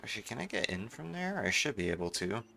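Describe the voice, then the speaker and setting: A man's voice: fairly low pitch, big pitch swings, quick pace, vocal fry, bright, forceful tone. A man giving casual, offhand live commentary as he streams a game, often talking to himself. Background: game music.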